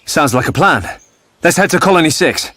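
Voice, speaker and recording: A man's voice: a little high, lively intonation, moderate pace, pushed and bright, no echo. A man playing an earnest, thoughtful young hero in a video game. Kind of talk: video game cutscene acting.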